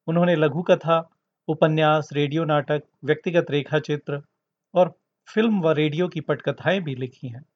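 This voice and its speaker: A man with a slightly high-pitched voice presents a story programme as its host, reading from a script. The recording is dull and lo-fi. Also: normal speed. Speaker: podcast host introducing a story. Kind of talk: scripted introduction, narration.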